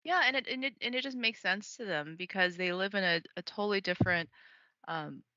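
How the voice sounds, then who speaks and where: A woman's voice: mid-range voice, fairly quick, sharp tone, dry recording, dull audio. A woman from Taiwan, an author and writing program organizer, talking enthusiastically in a casual interview with lots of fillers. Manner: chatty, enthusiastic, frequent fillers and laughs.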